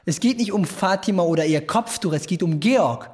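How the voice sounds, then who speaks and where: Confrontational, bitter German schoolboy. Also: male voice, high-pitched, lively intonation, a little fast, a little mellow. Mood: provocative. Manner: confrontational, curt. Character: bitter, provocative teenage schoolboy challenging everyone. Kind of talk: German radio drama acting.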